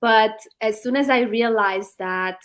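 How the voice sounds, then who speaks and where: A woman's voice: fairly high pitch, unhurried. A woman in her thirties from Romania, a guest in a live online interview, talking earnestly with many ums and restarts.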